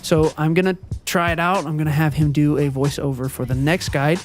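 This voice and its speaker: A man with a high, boyish-sounding voice records a casual voiceover update. He wanders from point to point, pausing on ums and correcting himself mid-sentence, with soft music playing faintly behind him. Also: steady tone. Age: adult. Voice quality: high-pitched, youthful-sounding voice. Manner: rambling, hesitant, many ums and self-corrections. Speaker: channel owner and video editor. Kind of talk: casual channel update monologue. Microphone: voiceover recorded before the gameplay footage.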